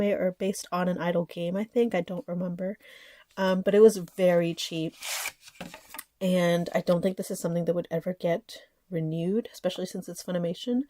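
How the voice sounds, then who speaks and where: A woman's voice: lowish voice, warm tone, dry recording, clean studio sound. Nasal, chatty woman with a cold. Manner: chatty, with fillers and small laughs. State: sick, a bit nasal. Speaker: anime collector vlogger. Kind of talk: casual haul chat.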